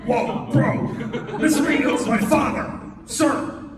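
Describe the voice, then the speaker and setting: A man's voice: extremely high pitch, slow, a crowd in the background. A man reading a comic script aloud as an amateur at a live comedy table read. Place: convention hall with audience. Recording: echoey noisy hall, distant mic.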